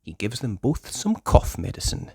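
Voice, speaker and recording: A storyteller reading a children's picture book aloud with expressive, measured scripted narration. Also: medium pitch, vocal fry, breathy edge, no echo, studio quality.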